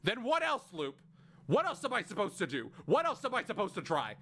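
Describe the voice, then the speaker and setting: A man's voice: animated, measured pace, fairly bright tone. Man voicing a frantic time-looped traveller. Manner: rising frantic questioning, voice climbing in pitch. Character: anxious young male traveller trapped in a time loop, spiralling. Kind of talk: fan voice acting of game dialogue.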